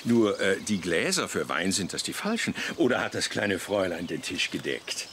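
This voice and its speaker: A man's voice: low-pitched, big pitch swings, moderate pace, background noise. A man playing a smug, jovial charmer in a German comedy radio play, pompous and condescendingly polite. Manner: pompous, courtly. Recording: clear outdoor ambience, animals, birds behind.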